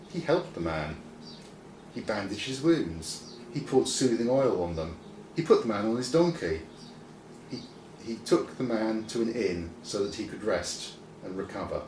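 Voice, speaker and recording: Lowish, animated male storyteller in echoey room. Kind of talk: scripted children's story narration. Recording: roomy, distant mic, faint noise.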